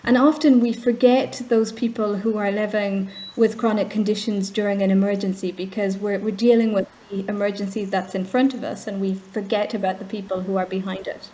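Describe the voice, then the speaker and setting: A woman with an Irish accent from Ireland, speaking as a charity chief executive in an interview, explaining things in an earnest, measured way. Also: medium pitch, level tone, dark tone, slight background noise, rough recording. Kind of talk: video interview.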